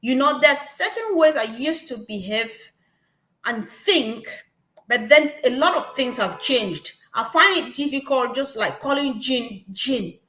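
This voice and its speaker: High-pitched woman, echoey room. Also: measured pace. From West Africa. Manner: animated, repetitive, laughing asides. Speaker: guest speaker. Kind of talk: passionate testimony at a gathering.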